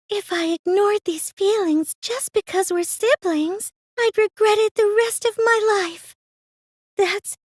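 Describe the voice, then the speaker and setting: A teenage anime game girl, a self-effacing cosplay geek with a very high, girlish voice. She is soft-spoken yet excitable, unhurried and hesitant, with theatrical, swooping emotion. The sound is dry and close. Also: no room sound. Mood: tender, bashful.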